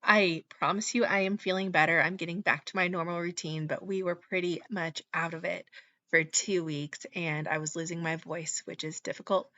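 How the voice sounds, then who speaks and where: A woman in her thirties hosting her own channel, chatting casually in a voice-over, her voice slightly hoarse after being sick, with frequent ums. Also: on the low side, steady tone, normal speed, sharp tone, no room sound, dull audio. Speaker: channel host, reseller. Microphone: voice-over mic.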